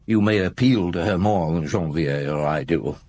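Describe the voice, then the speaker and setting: Unhurried, probing chief inspector for radio drama; husky voice, very dry close sound. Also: male voice, sits low, a little mellow, no room sound. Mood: wry, instructing. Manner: musing hums, probing questions. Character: shrewd, unhurried male French chief inspector, blunt and probing. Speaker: actor in the lead role of a radio detective drama. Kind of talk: British radio drama acting with first-person narration.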